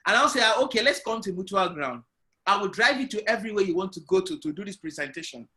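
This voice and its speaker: High, bright-voiced man. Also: fast. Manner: animated storyteller, loud voice. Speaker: guest entrepreneur. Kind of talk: online interview, personal story. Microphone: video call.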